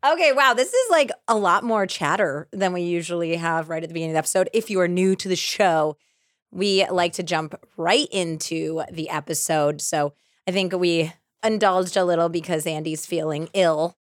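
A woman's voice: fairly low pitch, moderate pace, no room sound, clean studio sound. A woman co-hosting a podcast, mixing casual chat with true-crime storytelling in a playful, teasing way. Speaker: podcast co-host.

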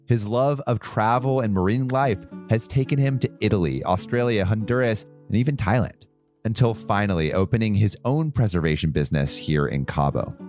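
A man hosting a podcast, narrating in a calm, measured way and interviewing a guest out on a boat. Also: lowish voice, faint music behind. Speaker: podcast host. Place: on a boat in a bay.

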